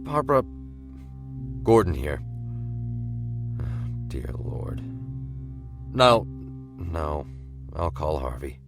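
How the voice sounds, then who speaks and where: An actor playing a weary, principled middle-aged police commissioner in an audio drama, earnest and stern. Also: male voice, extremely low pitch, very animated, drawn-out words, pauses often, heavily acted. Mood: shaken. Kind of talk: fan audio drama acting. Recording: music clearly behind the voice.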